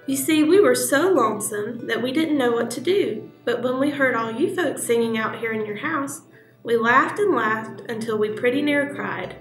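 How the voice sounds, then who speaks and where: A woman's voice: a little high, normal speed, roomy sound, far from the mic, faint music behind, close to an everyday voice, low-quality recording. A woman voicing a kind little girl in a storybook, eager and sweet.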